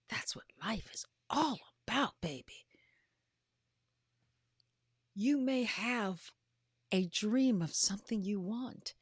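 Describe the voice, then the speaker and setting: Mature woman, motivational host, unhurried pace, dramatic drawn-out emphasis, breathy hushed phrasing, dry close sound. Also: medium pitch, dry recording. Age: 50s or older. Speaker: podcast host and coach. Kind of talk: motivational livestream talk to followers.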